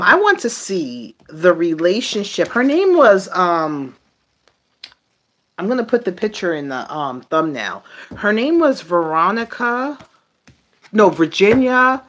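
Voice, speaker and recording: Halting woman reading tarot, lively pitch. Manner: halting, thinking aloud, trailing off mid-phrase. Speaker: tarot reader. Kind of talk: casual improvised tarot reading to viewers.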